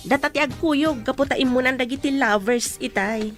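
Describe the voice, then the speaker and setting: A woman's voice: sits high, normal speed, soft background music, close to an everyday voice. A woman playing a giggly office gossip. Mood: gossipy. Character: chatty office friend, playful. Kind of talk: radio drama acting.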